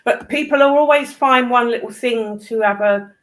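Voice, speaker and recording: A woman's voice: fairly high pitch, moderate pace, fairly bright tone. A British woman in casual political chat, speaking in a blunt, opinionated way with some swearing. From United Kingdom.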